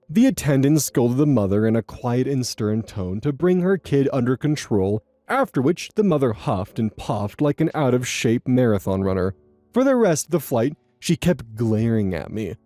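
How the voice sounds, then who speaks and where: A man's voice: medium pitch, dark tone. A young man reading online posts aloud and commenting on them in a rambling, casual way, repeating words and swearing now and then.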